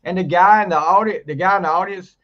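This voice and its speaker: A man co-hosting a casual podcast, rambling and laughing, his voice deeper than usual after the flu. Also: fast talker, lo-fi sound. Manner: rambling, laughs often, trails off mid-sentence. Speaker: podcast co-host. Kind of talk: casual chat.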